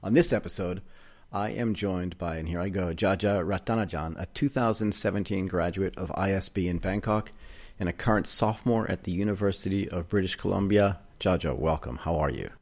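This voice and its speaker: Deep low guy hosting a podcast, chatty, long winding questions. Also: fairly quick, darkish tone. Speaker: podcast host. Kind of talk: interview podcast.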